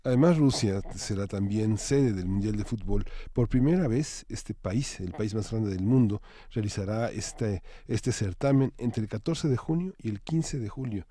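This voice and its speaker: A man's voice: lowish voice, unhurried, hardly any pauses, mellow. A man with a Mexican accent presenting news and introducing guests as a television host. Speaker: TV magazine show host.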